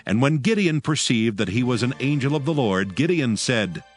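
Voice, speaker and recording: A man narrating scripture from a script in a solemn, measured manner. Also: medium pitch, big pitch swings. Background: music.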